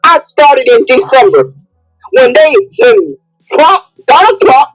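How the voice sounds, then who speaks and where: A woman's voice: high voice, lively intonation, takes it slowly, soft background music, poor audio. A woman calling in to a live discussion, speaking emphatically and repeating phrases for force. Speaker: caller in a live audio discussion. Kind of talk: impassioned spoken opinion.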